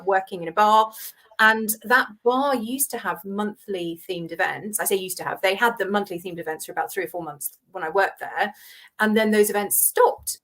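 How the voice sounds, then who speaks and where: A woman in her fifties who grew up in Hong Kong, a guest on a video-call interview, telling her career story with animated acted-out dialogue. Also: mid-range voice, rough recording. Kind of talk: conversational interview.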